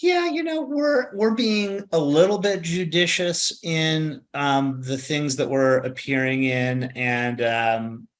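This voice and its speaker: A man's voice: fairly high pitch, takes it slowly, few pauses. A man answering as an interview guest, making his points emphatically and often ending them with "right?". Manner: emphatic, repeats words, says "right?". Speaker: company executive guest.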